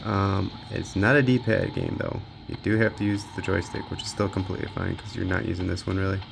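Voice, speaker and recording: A man's voice: low voice, fairly quick, gravelly, background noise. A man giving casual gameplay commentary as a handheld gaming reviewer, informally thinking aloud as he tests. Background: video game music.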